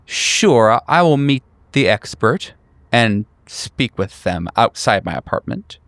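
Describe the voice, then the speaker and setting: A man acts out an eccentric woman neighbour in a tabletop horror game, chatty yet guarded and paranoid. The voice is clear and dry, tumbling through insistent, repetitive rambles. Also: mid-range voice, big pitch swings, slow pace, fairly bright tone, no echo, heavily acted. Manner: rambling, insistent, full of restarts.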